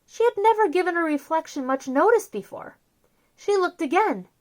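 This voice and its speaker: Very high-pitched, animated woman storyteller. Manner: theatrical, drawn-out emphasis on key words. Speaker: children's storyteller, host. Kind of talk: expressive picture-book read-aloud.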